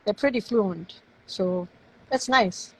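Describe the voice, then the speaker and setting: A woman from Germany speaking English with a German accent, her native tongue showing through. She talks in a casual, halting way, pausing on fillers and restarting her sentences, like an author chatting over a home video call. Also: medium pitch, a bit bright, slight background noise, rough recording. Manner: hesitant, with frequent fillers and restarts. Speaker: guest author. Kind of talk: casual live-stream interview.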